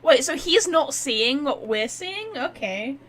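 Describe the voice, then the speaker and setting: A woman's voice: sits high, expressive, slow, fairly bright tone. A woman giving casual game playthrough commentary, chatty and reactive, thinking aloud. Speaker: gamer, streamer. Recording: faint background noise.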